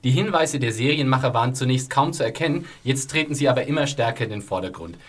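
A man moderating a series podcast, reading listener comments and steering the talk with quick jokes. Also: medium pitch, lively intonation. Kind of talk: scripted review narration and casual podcast chat.

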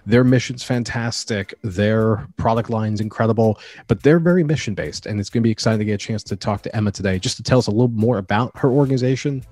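A man's voice: fairly low pitch, fast, few pauses, darkish tone, dry recording. A man co-hosting a podcast, talking loosely in casual chat. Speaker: podcast co-host.

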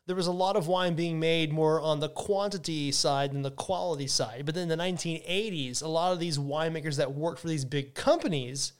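A man's voice: a little high, a little fast, hardly any pauses, clean tone. A man hosting a podcast, talking casually and enthusiastically about wine, often restarting his sentences. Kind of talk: casual educational monologue.

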